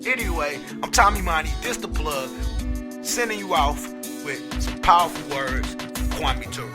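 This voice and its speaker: Man, blunt impassioned podcast rant, swearing, slightly high voice, hip hop beat behind. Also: unhurried, over music. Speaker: podcast host. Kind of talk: opinion monologue.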